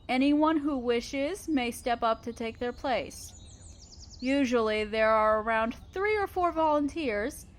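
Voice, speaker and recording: A woman playing a gentle, motherly spider queen in a scripted audio roleplay, speaking tenderly and patiently to the listener. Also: on the high side, lightly acted. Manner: tender, patient, softly explaining.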